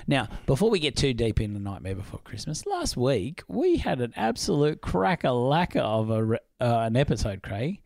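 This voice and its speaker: A husky man co-hosting a film podcast, chatty and eager, often laughing. His voice sits at a middle pitch and is recorded close and dry, with no room sound. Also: wildly swooping pitch, moderate pace, hardly any pauses, darkish tone, dry recording. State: husky from illness. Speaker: podcast co-host. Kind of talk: casual film podcast chat.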